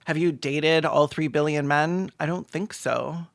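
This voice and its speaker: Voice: medium pitch, measured pace, vocal fry, no echo. Sarcastic, theatrical comedy host, dry close sound. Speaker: host of a comedic reaction show.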